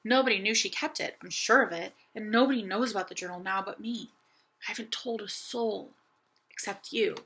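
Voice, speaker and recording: Woman voicing an eager, bright young girl doll. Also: medium pitch, a little fast, lightly acted, poor audio. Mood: secretive, excited. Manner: earnest, confiding. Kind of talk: children's audiobook character voice.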